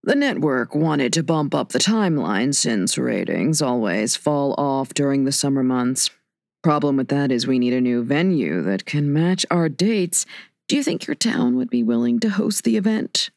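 A woman voicing a brisk, upbeat middle-aged male TV producer with a hearty baritone. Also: lively intonation, vocal fry, a little mellow, no echo, clean studio sound, heavily acted. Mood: businesslike. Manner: businesslike, upbeat. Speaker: audiobook narrator voicing a character.

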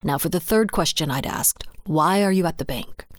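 A woman's voice: moderate pace, no room sound, clean studio sound, natural voice. A woman voicing a stern, suspicious male sheriff with a commanding manner in an audiobook story. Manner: low, clipped, commanding. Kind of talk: audiobook character dialogue.

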